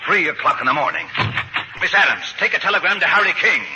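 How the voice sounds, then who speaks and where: An actor playing a stuffy, easily shocked boss in old radio comedy, stern and officious. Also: male voice, high voice, expressive, fast talker, dull audio. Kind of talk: 1940s radio comedy acting. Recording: slightly noisy old radio broadcast.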